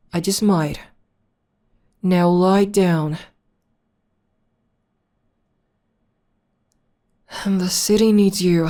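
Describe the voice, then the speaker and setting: A woman playing a villainess in an ASMR roleplay, soothing and teasing as she tends to her nemesis with possessive tenderness and drawn-out endearments. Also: lowish voice, mellow. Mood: stern, exasperated. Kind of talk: ASMR roleplay, soft intimate acting.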